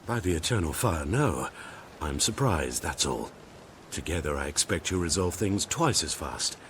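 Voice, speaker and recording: An actor playing an oily, shifty grain merchant in a video game, speaking in a formal, smug manner. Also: male voice, rumbling low, very animated, moderate pace, fairly bright tone, a little hiss or hum, full character voice. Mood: smooth, haughty.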